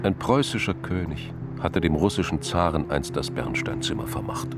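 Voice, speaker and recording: A man narrating a scripted crime radio drama in measured, dramatic literary phrases with pauses. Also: sits low, over loud music.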